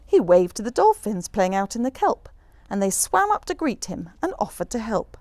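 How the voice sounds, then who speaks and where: A woman reading a rhyming children's picture book aloud in an expressive, rhythmic storyteller's manner. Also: mid-range voice, moderate pace, dry recording. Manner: animated, rhythmic rhyming delivery.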